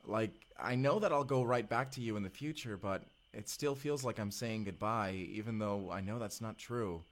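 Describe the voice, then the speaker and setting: A man's voice: lowish voice, normal speed, close to an everyday voice. A man playing an earnest, affectionate young male time traveler, speaking to the listener in an audio roleplay. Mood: wistful. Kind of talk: first-person audio drama dialogue, spoken to the listener.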